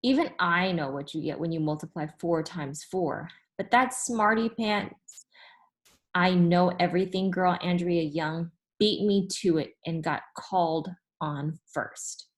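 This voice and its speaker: A woman reading a children's story aloud as an expressive narrator. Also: lowish voice, measured pace.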